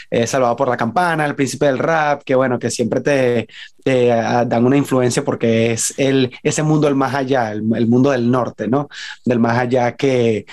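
A man's voice: medium pitch, darkish tone. A man from Venezuela with a Venezuelan accent, recalling things casually as an interview guest, often searching for words. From Caracas, Venezuela. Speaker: podcast guest, film director.

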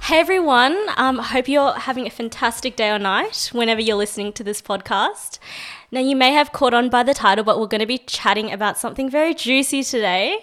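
A woman, hosting a casual podcast chat, lively and quick to laugh and swear. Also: on the high side, faint music behind. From Australia. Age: 20s. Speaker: podcast host.

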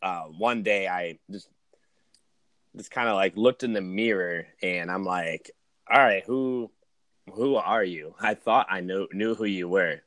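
Casting: male guest, about thirty-one, fairly deep voice, informal personal storytelling full of "like" and "man", dry recording. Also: measured pace, a bit bright, dry recording. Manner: informal, slangy, uses "man", "dude" and "like". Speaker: podcast guest, podcaster. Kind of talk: casual chat, personal storytelling.

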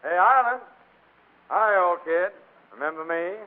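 An actor playing a cocky, needling athletic rival who flusters easily, in a 1940s radio comedy. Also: male voice, sits high, expressive, a little slow, a little hiss or hum. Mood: taunting. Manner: breezy, needling. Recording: tinny vintage radio broadcast.

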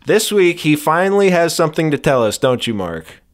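A man's voice: fairly high pitch, very animated, moderate pace. A man hosting a podcast in casual, joking conversation. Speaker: podcast host. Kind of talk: casual comedic chat.

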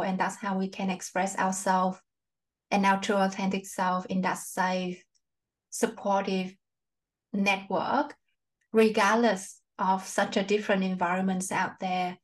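A woman hosting a podcast interview, asking questions gently and thanking her guest, with non-native phrasing. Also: medium pitch. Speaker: podcast host.

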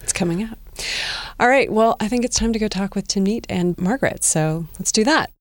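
A woman's voice: mid-range voice, brisk, no room sound. A woman co-hosting a tech podcast, chatting casually and upbeat as she introduces segments and guests. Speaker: podcast co-host.

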